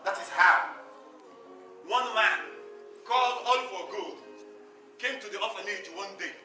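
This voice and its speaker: An actor playing an animated orphaned street hustler, telling his hard life in a theatrical stage monologue with a Nigerian accent, dramatic and emphatic, repeating phrases for effect. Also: high voice, heavily acted. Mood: dramatic recounting. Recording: echoey stage far from mic, music.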